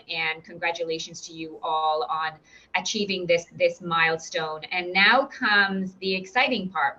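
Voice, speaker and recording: A woman's voice: lowish voice, sharp tone, lo-fi sound. A woman with an Irish accent, a recruitment director giving an upbeat, encouraging presentation with frequent fillers and restarts. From Ireland. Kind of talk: webinar presentation.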